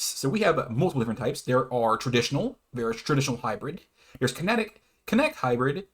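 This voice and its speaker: A man with a mid-pitched voice presents an informal online explainer. He moves between reading prepared lines and talking off the cuff. His delivery is halting, with stumbles and self-corrections, and he tends to chuckle between phrases. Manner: hesitant, stumbles over words and self-corrects. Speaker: YouTube host presenting his own definitions. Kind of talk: informal explainer, half read, half ad-libbed.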